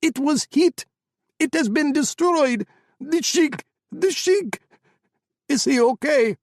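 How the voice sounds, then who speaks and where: A man doing frantic radio fighters shouting high. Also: expressive, slow pace, no echo, studio quality, full character voice. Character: panicked male fighters shouting over radio.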